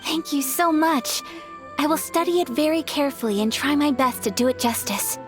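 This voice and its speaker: A woman's voice: high voice, normal speed, close to an everyday voice. A woman voicing a refined, gracious young noblewoman who speaks in formal, courteous phrasing. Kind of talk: game dialogue. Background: game music.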